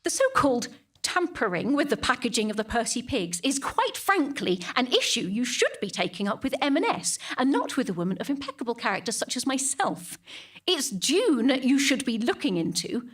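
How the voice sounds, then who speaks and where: A British woman acting a devout, defensive churchgoer with a guilty secret, delivering a halting, indignant dramatic monologue that repeats phrases for emphasis. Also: a little high, big pitch swings, fairly bright tone, husky, full character voice. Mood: defensive, prim. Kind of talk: dramatic monologue to a police officer.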